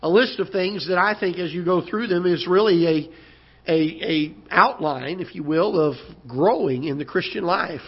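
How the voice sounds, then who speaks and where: A pastor with a hoarse, recovering voice preaching a sermon in a church auditorium, halting and repeating words, then rising as he preaches. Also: sits high. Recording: dull lo-fi church broadcast.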